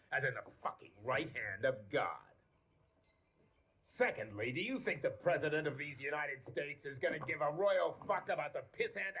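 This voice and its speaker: An actor playing a pompous, foul-mouthed, scheming war secretary in a video game, with a mocking, theatrical delivery. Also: male voice, medium pitch, lightly acted.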